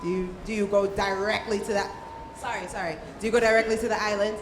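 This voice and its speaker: A woman's voice: mid-range voice, fairly even intonation, fast. A woman moderating a panel discussion in a casual, joking style. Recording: live event, clear background noise.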